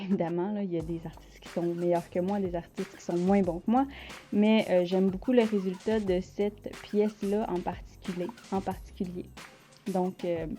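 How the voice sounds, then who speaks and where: A woman with a Quebec French accent talks casually about her artwork, pausing often to find the right word. Her voice is very dark and mellow. Her pitch sits in an ordinary middle range. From Quebec, Canada. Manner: hesitant, searching for words. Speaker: art channel host. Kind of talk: casual unscripted vlog talk.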